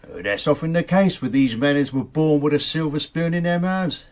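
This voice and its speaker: An actor playing an opinionated, grumbling servant in a radio drama, with a working-class English accent. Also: male voice, fairly high pitch, normal speed, a little mellow, natural voice. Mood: disapproving.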